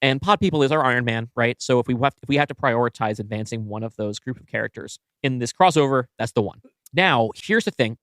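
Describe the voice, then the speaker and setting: A man's voice: mid-range voice, quick pace, a bit bright, dry recording. A man co-hosting a podcast, brainstorming in a casual, informal way and often checking in with "right?". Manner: informal, frequent "like" and tag "right?". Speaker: podcast co-host. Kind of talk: casual brainstorming chat about a story.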